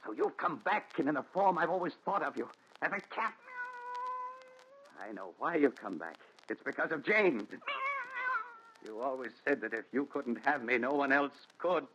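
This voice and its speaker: An actor playing a haunted, desperate man confessing his story, with intense, dramatic pauses in 1940s radio melodrama style. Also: medium pitch, lively intonation, a bit bright, close to an everyday voice. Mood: frenzied, defiant. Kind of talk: 1940s radio thriller acting. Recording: dull noisy 1940s radio recording.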